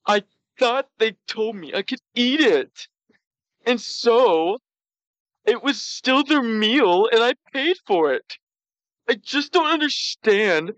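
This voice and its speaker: A man voicing a pouty, self-justifying glutton who tells comic stories in a halting way. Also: high-pitched, expressive, a bit bright, dry recording, highs cut off, full character voice. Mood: indignant. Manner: pouty, slow, halting delivery. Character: pouty, self-justifying, gluttonous male talk show storyteller. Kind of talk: comedic in-character storytelling.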